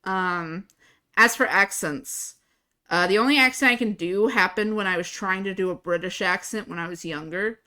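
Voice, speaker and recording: Bright-voiced young woman streamer, flat delivery. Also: mid-range voice, normal speed. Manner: self-described monotone, swears freely, casual. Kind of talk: casual live-stream chat.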